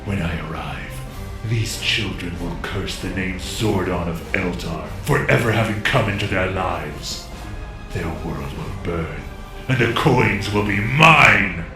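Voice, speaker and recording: A man's voice: sits low, lively intonation, slow pace, echoey room, over music, full character voice. A man playing a ruthless alien emperor in an audio drama, commanding, wrathful and full of contempt. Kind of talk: villain acting in audio drama.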